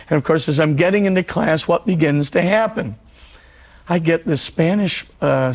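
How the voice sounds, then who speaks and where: A middle-aged man with a husky, tired voice, teaching in a conversational, story-driven way with lots of asides. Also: a little high. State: losing his voice, husky. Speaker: priest giving a televised talk. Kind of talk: spoken religious teaching.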